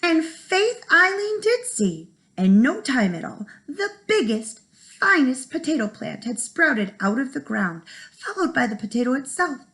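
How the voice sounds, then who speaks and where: A woman reads a storybook aloud like a teacher to young children. Her voice sits fairly high and swings widely in pitch, with frequent creak at the edges. She leaves dramatic pauses for effect. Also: sing-song, fairly bright tone, poor audio. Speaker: first grade teacher.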